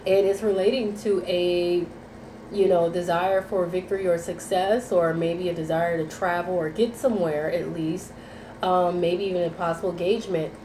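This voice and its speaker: A woman's voice: lowish voice, steady tone, moderate pace, warm tone, reverberant, a little hiss or hum, rough recording. A woman giving a casual, rambling tarot reading. She sounds nasal from sinus congestion and keeps checking in with "okay?" and "you know". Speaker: tarot reader.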